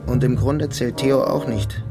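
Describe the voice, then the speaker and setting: An actor as a young male clerk in a radio crime play, sly and resigned. He tells his story with understated irony at a medium pitch, with music clearly behind him. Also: unhurried, natural voice. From Germany. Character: sly, resigned young German immigrant clerk, wryly ironic. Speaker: narrator and lead character. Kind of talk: radio drama first-person narration and dialogue.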